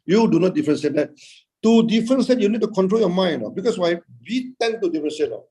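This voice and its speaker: A man teaching Buddhism over a video call, in a halting way, filling gaps with "okay" and "uh" and answering his own questions. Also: sits high, lively intonation, mellow, husky. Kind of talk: dharma talk.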